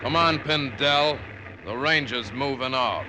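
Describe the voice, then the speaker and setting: An actor playing a tired, terse state trooper in an old radio drama. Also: male voice, mid-range voice, unhurried, loud music behind the voice, lightly acted. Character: tough, dying state trooper. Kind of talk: 1940s radio acting. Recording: noisy old radio recording.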